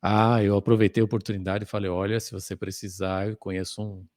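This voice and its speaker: A man's voice: lowish voice, fast talker, dry recording, studio quality. Man telling an anecdote. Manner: relaxed anecdotal storytelling. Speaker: podcast co-host. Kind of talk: conversational storytelling.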